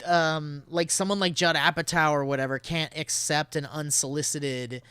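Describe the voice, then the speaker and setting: Bright, crisp higher-pitched man. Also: steady tone, normal speed, dry recording. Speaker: podcast co-host. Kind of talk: casual chat.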